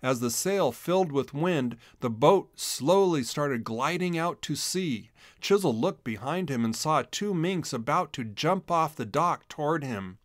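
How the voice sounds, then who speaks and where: Animated male children's storybook narrator. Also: fairly high pitch, measured pace, hardly any pauses, no room sound, clean studio sound. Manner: animated, dramatic delivery. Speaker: storyteller reading a children's book. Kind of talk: expressive scripted narration for a read-along storybook.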